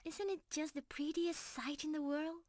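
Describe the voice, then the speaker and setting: A woman's voice: high voice, fairly even intonation, normal speed, a little mellow, slight background noise, close to an everyday voice. A woman playing a sweet, bashful young mermaid in an intimate ASMR roleplay, affectionate and girlish, easily flustered and teasing. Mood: happy, excited.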